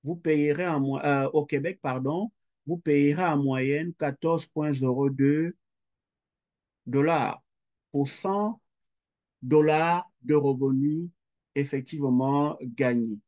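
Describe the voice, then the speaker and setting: A man's voice: fairly high pitch, a little mellow, dull audio. A middle-aged man, giving a recorded lecture and working through the calculations step by step, repeating each figure aloud. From Quebec. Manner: slow, methodical, repeats figures aloud as he writes.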